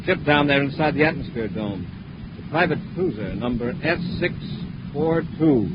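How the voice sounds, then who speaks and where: An actor playing a heroic space patrol commander, cool, crisp and authoritative, in 1950s radio serial style. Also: male voice, animated, normal speed, natural voice. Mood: alert. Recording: muffled, very noisy 1950s radio broadcast, engine rumble.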